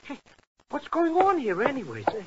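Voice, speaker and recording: An actor playing an excitable, bumbling comic sidekick in a 1950s radio drama, with a flustered manner. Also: male voice, very high-pitched, moderate pace, full character voice. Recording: muffled, very noisy, crackly old radio.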